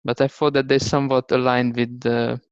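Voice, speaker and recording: Male podcast guest, Hungarian accent, gravelly fry, hesitant conversational delivery. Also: mid-range voice, level tone, a little fast, dull audio. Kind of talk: casual conversational interview.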